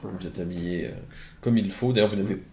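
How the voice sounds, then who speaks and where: A man narrating as game master of a tabletop game, relaxed and easygoing, often filling pauses with small words. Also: low voice, gravelly, roomy sound, slight background noise. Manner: relaxed, easygoing, fills with hein and euh.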